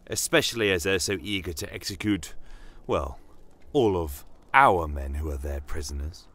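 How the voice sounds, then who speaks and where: A man playing a stern, proud commander of a fantasy empire, telling his own tale in a measured, formal, brooding manner. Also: deep voice, lively intonation, fairly bright tone. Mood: commanding, stern. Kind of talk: first-person fantasy audio storytelling.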